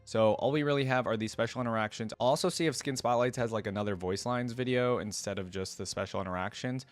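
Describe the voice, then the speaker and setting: A man's voice: mid-range voice, fast, faint music behind. A man giving casual reaction commentary, musing aloud with curiosity as host.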